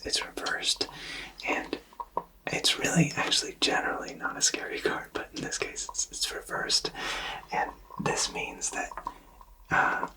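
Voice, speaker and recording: A man whispering an ASMR tarot reading, explaining softly and reassuringly with hesitant pauses. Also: recorded from a distance, poor audio. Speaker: tarot reader.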